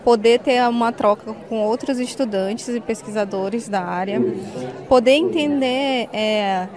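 A woman's voice: a little high, measured pace, a little mellow, a faint crowd. A young woman with a Brazilian accent, a university student giving a spontaneous interview answer. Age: young adult.

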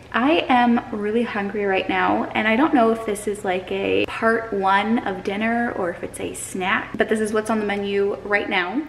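A young woman talks to a handheld camera in her kitchen, relaxed and chatty in a calm, even tone. Her voice sits at an ordinary middle pitch. A faint hum of room noise stays in the background. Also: echoey room, rough recording. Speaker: vlogger. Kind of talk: casual food vlog chat. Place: home kitchen. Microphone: camera held at arm's length.

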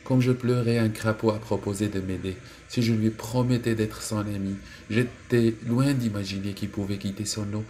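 A man's voice: fairly low pitch, fairly even intonation, moderate pace, few pauses, mellow, slight background noise, voice pushed up, natural voice. A man voicing a spoiled young princess in a storybook tale. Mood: distressed.

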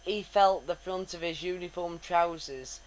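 A woman's voice: lowish voice, unhurried, low-quality recording. A woman giving a wry, dramatic reading of fan fiction. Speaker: reader.

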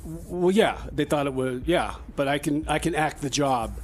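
A man's voice: fairly high pitch, close to an everyday voice. Man playing rambling, hapless would-be actor, noisy background. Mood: earnest, boastful. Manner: rambling, halting, overconfident. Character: eager, hapless male small-town actor posing as mechanic. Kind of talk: improvised comedy radio theater. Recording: clear background noise.

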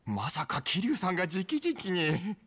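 An actor playing a frightened, desperate debtor, pleading and panicky in a strained husky voice, in a Japanese game cutscene. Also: male voice, sits high, wildly swooping pitch, measured pace, a bit bright, exaggerated voice. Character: desperate, frightened debtor begging yakuza for time.

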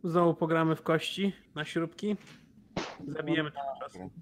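A man's voice: on the high side, moderate pace, background noise. A man playing a tabletop role-playing game, speaking casually in character.

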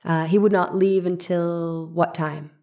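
Low-voiced woman as careful defense lawyer. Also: fairly even intonation, a little slow, dark tone. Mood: courteous, insistent. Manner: formal, precise questioning. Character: courteous, careful male 1890s defense lawyer. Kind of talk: dramatic reading of trial transcript.

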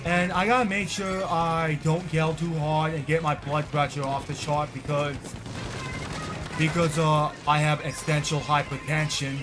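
Guy in his 20s streaming, talks slow and kinda high, rambly, game music behind. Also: flat delivery. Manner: rambling, repeats himself, bursts of frustration. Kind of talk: casual game commentary to chat.

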